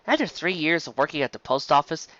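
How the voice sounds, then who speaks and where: Female story narrator, lowish voice with animated pitch, dry and slightly dull home recording. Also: fast talker, no echo. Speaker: story reader. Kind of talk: scripted narration of fan fiction.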